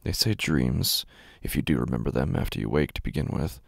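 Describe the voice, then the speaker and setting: A man with a gravelly, husky voice, narrating first-person horror in a brooding, deliberate, intense manner, close on the microphone. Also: very deep voice, animated, no room sound. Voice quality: gravelly, husky, breathy at times.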